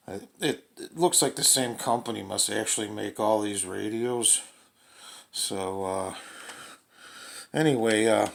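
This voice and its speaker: A man's voice: on the low side, vocal fry. A man giving a casual, unscripted product demonstration in a hesitant, rambling, folksy way. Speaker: radio reviewer demonstrating a portable radio.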